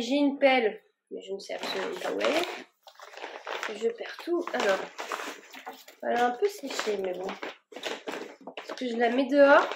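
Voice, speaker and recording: Woman plant vlogger, fairly high voice, rambling casual chat, lots of fillers. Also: noisy background. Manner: rambling, many fillers and restarts. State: a bit warm and tired from the work.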